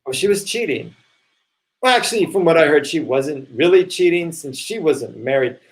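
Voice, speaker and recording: A man playing an earnest, impatient waiter seeking forgiveness in a play reading, talking in a halting, urgent way. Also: fairly high pitch, animated. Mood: explaining.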